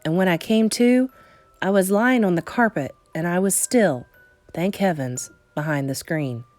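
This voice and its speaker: A woman narrates a children's novel in a mid-pitched, highly animated voice. She leans into key words and leaves deliberate pauses for suspense. Soft music plays behind her. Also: dry recording. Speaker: storybook reader. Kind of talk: dramatic read-aloud narration of a children's novel.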